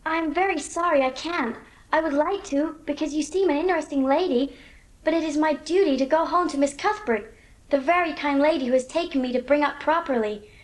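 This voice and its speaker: A woman's voice: sits high, fairly quick, close to an everyday voice. A woman playing an earnest, outspoken, wordy eleven-year-old orphan girl in a dramatised audiobook. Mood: earnest pleading.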